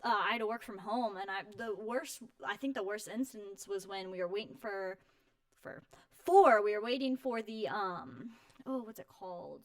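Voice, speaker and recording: Woman, twenties, effusive high-energy interview guest, informal, quick to laugh, dry recording. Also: no room sound. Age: 20s. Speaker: interview guest, home warranty company representative. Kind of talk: casual chat.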